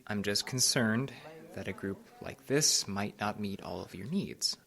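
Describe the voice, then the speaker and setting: A man playing a soft-spoken fatherly group leader. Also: fairly low pitch, a little slow, vocal fry, exaggerated voice. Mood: concerned. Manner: gentle and earnest. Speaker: support group facilitator.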